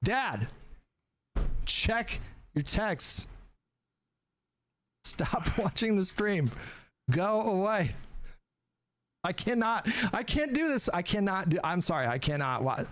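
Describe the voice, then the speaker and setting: A man's voice: high voice, very animated, slow pace, long pauses, fairly bright tone. A man rambling casually to his livestream chat, emphatic, defensive and given to swearing. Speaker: streamer talking to his chat.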